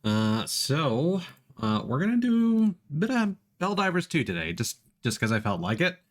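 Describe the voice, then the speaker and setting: A man giving casual let's play commentary as a game streamer, talking in halting phrases with frequent pauses. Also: a little high, sing-song.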